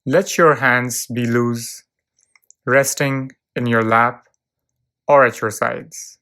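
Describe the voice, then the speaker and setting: A narrator leading a guided visualization in a calm, soothing, measured way, leaving long pauses between short phrases. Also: medium pitch. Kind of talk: guided visualization, relaxation script.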